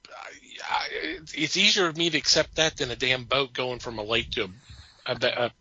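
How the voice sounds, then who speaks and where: A man hosting a podcast in casual chat, speaking haltingly and often repeating himself. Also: medium pitch, bright, forceful tone, no room sound. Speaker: podcast host. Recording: dull lo-fi voice track.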